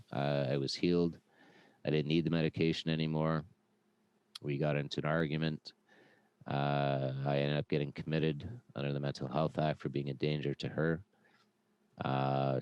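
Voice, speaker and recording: A man, a former police officer, telling his story as a podcast guest in a loud, earnest voice full of "uh"s and "um"s. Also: extremely low pitch, clear, resonant tone, dry recording, rough recording. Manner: earnest, with frequent "uh" and "um". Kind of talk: conversational personal storytelling.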